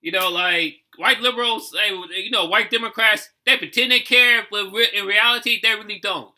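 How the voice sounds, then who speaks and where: Give this voice to a man in his thirties from the United States. It is high and very sharp in tone. He delivers casual, impassioned commentary as a video host, repeating phrases and swearing bluntly. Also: moderate pace. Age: 30s. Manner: repetitive, outspoken, frequent swearing.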